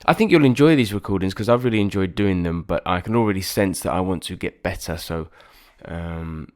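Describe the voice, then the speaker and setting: A man's voice: deep voice, fairly quick. A man with a nasal voice, a singer-songwriter rambling casually and self-deprecatingly about his album recording sessions. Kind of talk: reflective casual vlog talk.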